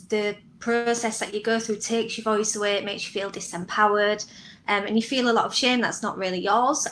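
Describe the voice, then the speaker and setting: Bright-voiced woman interviewed on a call. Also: mid-range voice, slight background noise, low-quality recording. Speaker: interviewed writer and performer. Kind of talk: reflective interview answer. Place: indoors on a live online video call.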